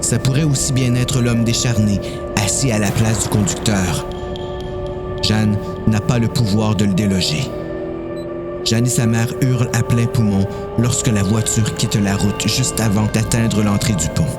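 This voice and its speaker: A man's voice: lowish voice, fairly even intonation, measured pace. A man with a Québécois accent giving a dramatic scripted narration of a story. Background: music.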